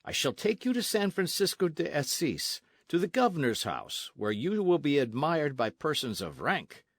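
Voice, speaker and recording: Man acting a pompous, pushy army captain, animated. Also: on the high side, normal speed, dry recording, studio quality, voice pushed up. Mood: domineering. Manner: pompous, sneering, insistent. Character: arrogant, pushy male Spanish colonial army captain. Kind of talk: storybook narration with character voices.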